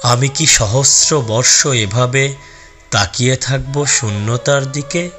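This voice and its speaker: A man reciting a poem in a solemn, melancholic manner, slowly and deliberately with long pauses. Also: mid-range voice, fairly bright tone. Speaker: poetry reciter. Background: music.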